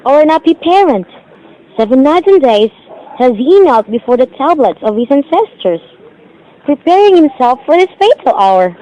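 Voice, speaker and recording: A woman's voice: sits high, sing-song, moderate pace, dark tone. A woman playing a dutiful, worried young mandarin's daughter in an amateur drama, formal and earnest in her delivery. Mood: sorrowful. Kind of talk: declaimed amateur stage-play acting. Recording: dull lo-fi amateur recording.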